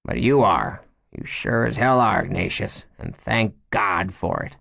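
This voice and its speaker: A man's voice: fairly low pitch, a little slow, gravelly, a bit bright, no echo, studio quality, exaggerated voice. A man doing a plainspoken, shaken woman official. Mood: wry.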